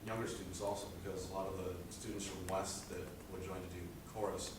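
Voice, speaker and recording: A teenage high school boy with a low speaking voice gives an earnest, rambling presentation about his senior project, with many fillers. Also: level tone, fairly quick. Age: teens. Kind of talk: presentation speech. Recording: echoey room, distant mic, background noise.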